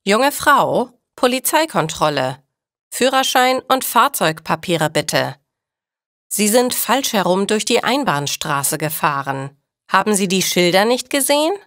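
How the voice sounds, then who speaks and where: A firm but sympathetic policeman in an audio storybook, acted out by a woman narrator. He sounds official and emphatic, each word clear, with strongly moving intonation in a dry recording. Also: mid-range voice, very animated, unhurried, fairly bright tone, dry recording. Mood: stern. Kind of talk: audio storybook dialogue for learners.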